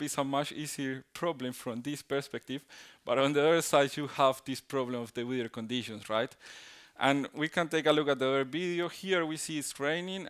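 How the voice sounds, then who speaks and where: Man from Colombia, conference speaker, resonant clear voice, halting delivery, many restarts. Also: medium pitch, a bit bright. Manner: halting, many restarts and fillers, tag "right?". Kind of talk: technical conference talk.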